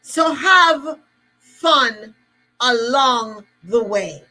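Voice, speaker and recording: A woman's voice: on the high side, animated, drawn-out words, pushed and bright, faint music behind, poor audio. A woman with a Jamaican accent giving an emphatic, repetitive motivational talk to listeners. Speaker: motivational speaker.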